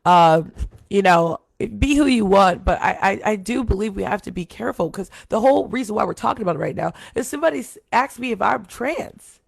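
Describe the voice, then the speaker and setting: Deep-voiced woman hosting a chatty show. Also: animated, brisk, vocal fry, breathy edge, no echo. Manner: chatty, rambling, laughs often. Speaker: talk show host. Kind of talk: casual live commentary chat.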